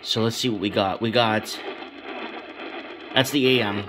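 A man's voice: on the low side, steady tone, measured pace. A man giving a casual, unscripted product demo, rambling informally and thinking aloud as he goes. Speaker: product reviewer. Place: small room at home, indoors. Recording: clearly noisy home video with clicks.

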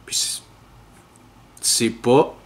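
A man, hosting a podcast and giving measured, opinionated commentary to a webcam in a small room. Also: whispered. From Ontario, Canada. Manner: measured, earnest and opinionated, talks straight to camera. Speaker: podcast host. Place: small indoor room with dark curtains.

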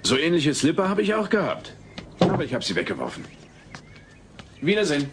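An effusively courteous sitcom neighbour. His voice sits fairly high and swings animatedly, over clearly audible background noise. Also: wildly swooping pitch, fairly quick. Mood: polite, pleased. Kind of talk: dubbed sitcom audio play.

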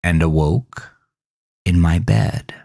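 A man reading scripted first-person horror narration in a dramatic, deliberate storytelling manner, as a podcast host. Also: low-pitched, gravelly, warm tone, no echo, clean studio sound.

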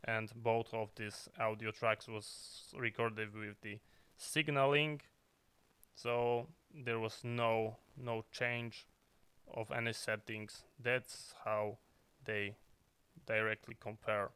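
A man's voice: lowish voice, flat delivery, slow, pushed and bright, smooth, dry recording. A man who is not a native English speaker, explaining in an unscripted, choppy way as a video host. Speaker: video host, radio hobbyist.

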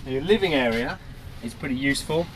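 Cheerful presenter, forties, chatty walkaround on a breezy coast, mid-pitched voice, noisy outdoor audio. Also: male voice, quick pace. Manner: chatty, enthusiastic, pointing things out as he walks. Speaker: presenter reviewing a camper van. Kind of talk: upbeat walkaround review. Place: outdoors by a van on a windy coast, and inside the van.

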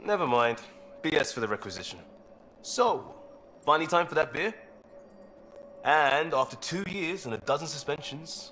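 An actor playing an easygoing young male crewman, a former cop, relaxed and joking. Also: medium pitch, slight background noise, natural voice.

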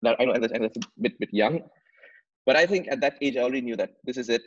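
A man's voice: mid-range voice, quick pace, breathy edge. A man in his thirties from Dubai, a podcast guest telling his story earnestly over a video call, often repeating words as he searches for phrasing. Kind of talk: conversational storytelling. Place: home room with plants and shelves. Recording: slightly muffled video call audio.

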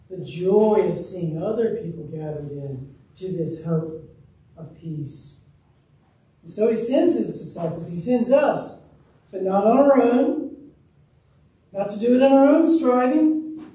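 A man's voice: sits high, dark tone. A man in his thirties, a pastor, preaching an earnest, emphatic sermon from a pulpit in a church sanctuary. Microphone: room mic, far from speaker. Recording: echoey distant church recording.

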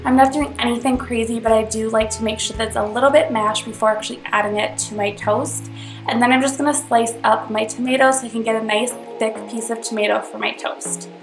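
A young woman with a slightly high voice, a bit congested from a cold, talks through a recipe in a chatty, upbeat way. She is recorded in a roomy home kitchen, with light music playing underneath. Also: steady tone, brisk. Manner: chatty and upbeat, explaining step by step. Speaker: cooking channel host. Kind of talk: casual cooking tutorial voice-over.

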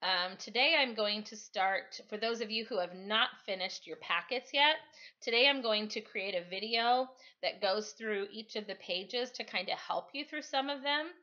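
A woman's voice: medium pitch, moderate pace, bright, forceful tone. Middle-aged woman teacher, dull lo-fi sound. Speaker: teacher reading aloud to pupils. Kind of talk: storytime reading of a novel, then informal address to pupils. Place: living room at home, on a couch.

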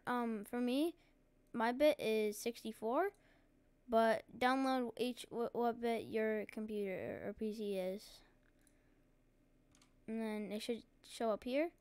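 Woman, fairly high clear voice, casual tutorial presenter, halting informal delivery, dry close sound. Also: no room sound. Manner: informal, halting step-by-step instructions. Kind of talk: casual screen-recorded software tutorial.

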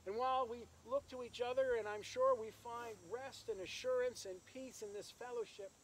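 A man, a minister, speaking solemnly and with pauses at a graveside service, reading scripture, praying and preaching outdoors. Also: very high-pitched, steady tone, poor audio. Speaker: minister officiating a funeral. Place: outdoors in an open rural cemetery. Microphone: camera several metres away. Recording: outdoor recording with insect and nature noise.

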